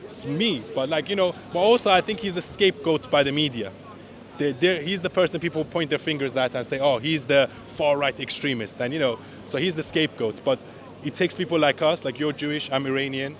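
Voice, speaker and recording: Earnest, opinionated man from Iran. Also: fairly high pitch. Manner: earnest, opinionated, quick restarts. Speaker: rally attendee interviewed. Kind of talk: outdoor interview answers. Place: outdoor street rally.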